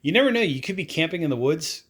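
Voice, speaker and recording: A man's voice: a little high, expressive, fast talker, a bit bright, breathy edge. A man doing a casual product unboxing review, talking in a rambling, offhand way full of fillers.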